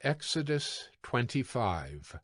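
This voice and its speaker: A man narrating scripture from a script in a solemn, measured storytelling manner. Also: medium pitch, very animated, fairly bright tone, no room sound, studio quality. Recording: prominent music bed behind narration.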